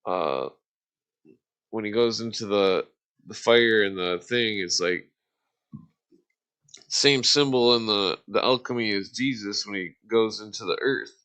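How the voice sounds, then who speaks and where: Male host, lowish voice, loose rambling explainer, halting delivery with many searches for the right word. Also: fairly bright tone, smooth. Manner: halting, searching for words, often losing his thread. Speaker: host explaining esoteric symbols. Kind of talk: casual rambling explanation in a livestream debate.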